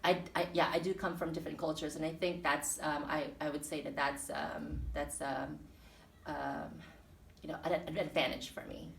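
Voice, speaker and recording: Low-voiced woman, reflective and halting. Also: fairly even intonation, creaky, a little hiss or hum. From Philippines and Guam. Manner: thoughtful, many fillers and restarts. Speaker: interview guest, teacher. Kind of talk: casual interview answers. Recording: echoey room, mic at a distance.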